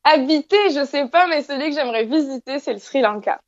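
A woman's voice: sits high, expressive, a little fast, dull audio. A young woman from France, chatting casually as an interview guest from her apartment, with many fillers and restarts.

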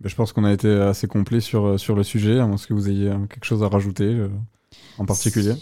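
A man hosting a radio show, relaxed, giving brief prompts and thanking his guests. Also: on the low side, quick pace, no echo, clean studio sound. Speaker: radio host. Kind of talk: casual radio interview. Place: radio studio.

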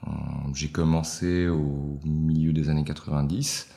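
Very low-voiced man. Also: flat delivery, unhurried, mellow. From Switzerland. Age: 40s. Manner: reflective, searching for words. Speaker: guest, watch collector. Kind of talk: casual interview conversation.

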